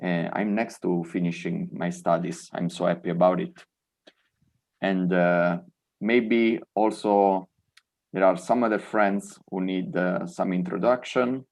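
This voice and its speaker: A man's voice: low voice, steady tone, unhurried, gravelly, darkish tone. A man in his twenties from Italy, hosting an online event and switching easily between English and Italian. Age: 20s. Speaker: event host.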